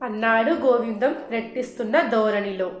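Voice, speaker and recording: A woman's voice: fairly high pitch, normal speed. A woman narrating a scripted moral folk tale as a storyteller. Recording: roomy echo, music underneath.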